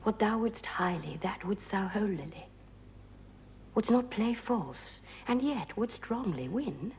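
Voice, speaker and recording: Give the voice of a ruthless, ambitious noblewoman plotting in secret. She delivers a Shakespearean soliloquy in hushed, breathy whispers that swell into intense declamation. Her pitch is mid-range for a woman, with faint noise behind her. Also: expressive, takes it slowly. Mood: impatient, intense.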